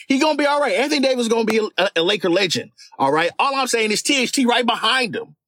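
A man delivering a heated, animated basketball rant, hammering his points with repeated checks for agreement. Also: extremely high pitch, fast, dry recording, clean studio sound. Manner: animated, keeps tagging "all right?". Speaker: guest commentator.

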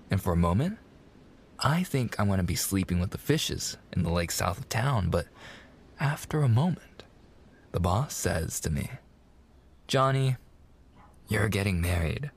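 An actor playing a mafia boss's young son, an eager, sweet fiancé coaxing in slangy gangster talk in an intimate roleplay. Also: male voice, fairly low pitch, lively intonation, measured pace, pauses often, husky, heavily acted. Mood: animated storytelling. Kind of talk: boyfriend ASMR roleplay, intimate monologue.

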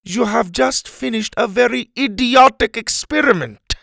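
A man acts out an impatient, commanding storybook woman. The voice sits high and comes out forceful, with formal, clipped wording and open scorn. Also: unhurried, creaky, no room sound, studio quality, exaggerated voice. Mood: scornful. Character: bossy, impatient, scornful female camp onlooker.

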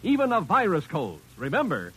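A man's voice: a little high, huge pitch swings, moderate pace, noisy background. A male radio announcer reading in a polished, emphatic 1950s network style. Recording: vintage 1950s radio recording.

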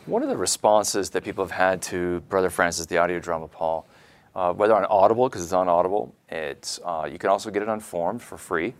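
A man's voice: sits low, a little fast. A man hosting a podcast, talking conversationally with his guest in an interview. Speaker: podcast host.

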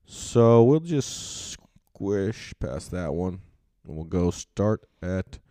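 A laid-back man hosting a podcast, his voice sitting fairly low and sounding close and dry. He rambles along with fillers and the odd swear word, easygoing and unpolished. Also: big pitch swings, extremely slow, warm tone, no echo. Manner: loose, rambling, filler-heavy, swears casually. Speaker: podcast host. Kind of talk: casual podcast chat.

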